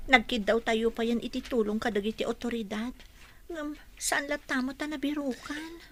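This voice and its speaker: Woman acting high-voiced gossipy villager. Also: fairly even intonation, normal speed, a bit bright, natural voice. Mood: disapproving. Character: gossipy, disapproving young village woman named Olive. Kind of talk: radio drama acting.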